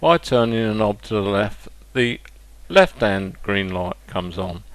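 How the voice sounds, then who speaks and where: A man narrating a hobby product demonstration step by step, in a plain-spoken, deliberate way with hesitant pauses. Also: fairly low pitch, animated, no echo. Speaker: hobbyist presenter demonstrating a model train radio control.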